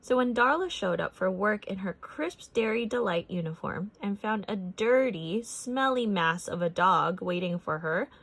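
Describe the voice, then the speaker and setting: A woman narrating a picture book as a read-aloud for children, expressive and deliberate in her phrasing. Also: medium pitch.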